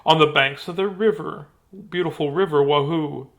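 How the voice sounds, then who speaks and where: A man's voice: fairly high pitch, moderate pace, fairly bright tone, pitched above the performer's own voice. A man voicing a hapless young storybook hero who tells his troubles in dramatic, plaintive rhyme. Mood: daunted.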